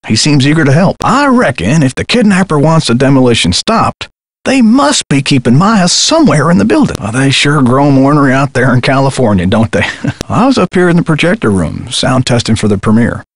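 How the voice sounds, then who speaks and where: An actor playing a kindly, folksy old theater projectionist in a video game, with old-fashioned turns of phrase and a husky voice. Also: male voice, mid-range voice, big pitch swings, a little fast, no echo. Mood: concerned, friendly. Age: older. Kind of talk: scripted video game character dialogue.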